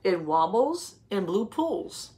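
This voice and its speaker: A woman teacher reading a picture book aloud to young children, explaining as she goes and pausing to ask questions and confirm answers. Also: medium pitch, very animated, dark tone.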